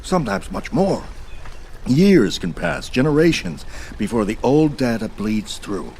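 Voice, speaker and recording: An ancient, godlike male being from an audio drama, amused and theatrical. His phrases swoop widely in pitch, urbane and learned, with wry courtesy. Faint ambience sits behind the voice. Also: very animated, measured pace, darkish tone, slight background noise. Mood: lecturing, playful. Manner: theatrical, erudite, wryly polite.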